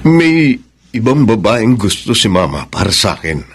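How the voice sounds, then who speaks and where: A Filipino actor with a husky voice playing an earnest, devoted lover in a romantic radio drama. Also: male voice, fairly low pitch, very animated, moderate pace, a little hiss or hum. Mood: remorseful confession. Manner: earnest, pleading. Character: earnest, devoted male lover explaining himself.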